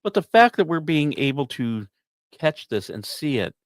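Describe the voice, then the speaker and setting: A man's voice: a little high, very animated, normal speed, no echo. Man hosting a science podcast, dry close sound. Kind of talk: semi-scripted science commentary.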